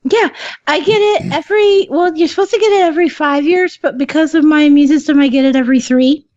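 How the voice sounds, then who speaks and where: A woman with a hoarse, squeaky voice pitched well above the usual, chatting loosely on a podcast. She laughs easily and swears without a second thought. The audio is dull and lo-fi, with no room sound. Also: fast talker, darkish tone, no echo. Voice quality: squeaky, high rasp. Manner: laughs often, swears casually. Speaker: podcast co-host. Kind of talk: casual chat.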